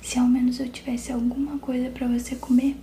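A woman's voice: sits high, flat delivery, brisk, warm tone, echoey room, slight background noise, close to an everyday voice. A woman whispering the lines of a worried young boy on the run in a storybook reading. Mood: earnest.

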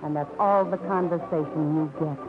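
An actress playing a cool, guarded dance instructor, curt and defensive, in 1940s radio drama style. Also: female voice, sits low, steady tone, normal speed, music in the background, lightly acted. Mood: cold. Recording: lo-fi vintage radio broadcast.

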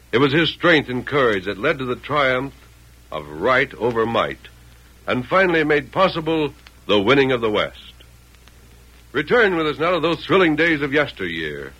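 A man's voice: medium pitch, pushed and bright, slightly rough, rough recording. An American man narrating a western in formal, stentorian old-time radio style. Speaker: announcer and narrator.

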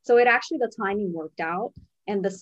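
A woman in her thirties from Brazil, a money coach and podcast guest, telling her story in an animated, chatty way and laughing easily. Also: medium pitch, a little fast, no room sound. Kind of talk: casual interview storytelling. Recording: dull, slightly lo-fi sound.

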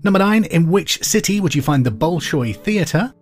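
A man hosting a pub quiz podcast, reading each question twice in a slow, clear way. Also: on the high side, animated, soft background music. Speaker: quiz host.

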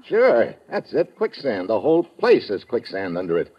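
Wry tough radio adventurer, husky n low, bossy, old muffled broadcast. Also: male voice, huge pitch swings, moderate pace, heavily acted. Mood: bluffing, insistent. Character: tough, quick-thinking male adventurer, wry hero. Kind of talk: 1950s American radio adventure acting.

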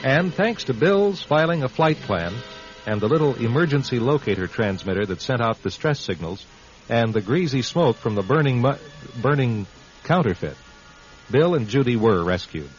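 A man's voice: medium pitch, lively intonation, normal speed. A man hosting and narrating a scripted radio drama in a wry, tongue-in-cheek storytelling manner. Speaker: host and narrator. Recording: dull, muffled radio broadcast recording. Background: music.

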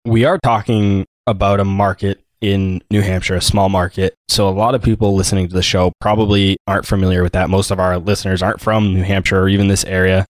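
A male podcast host with a very low voice talks quickly and directly. The sound is dry and close, with no room echo, as he puts questions to his guest and addresses his audience. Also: flat delivery. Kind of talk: casual interview hosting.

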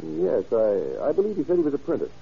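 An actor playing a helpful, chatty small-town banker who thinks aloud hesitantly, in old radio drama style. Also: male voice, a little high, huge pitch swings, fast, creaky, slightly rough, exaggerated voice. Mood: musing, obliging. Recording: dull vintage radio drama recording.

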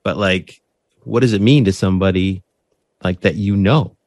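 Earnest man with a low voice. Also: normal speed, a little mellow, no echo, studio quality. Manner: earnest, conversational, often tags "right?"; frequent restarts and repeated words. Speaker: podcast host interviewed as guest. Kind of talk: casual interview talk.

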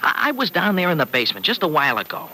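An actor playing a fretful, protective, sardonic uncle in a folksy, hesitant way, in old-time radio serial style. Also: male voice, on the high side, very animated, very fast, sharp tone, exaggerated voice. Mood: irked, sardonic. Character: Uncle Freddy, gruff-hearted fretful family man, protective and sardonic. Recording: noisy vintage radio recording.

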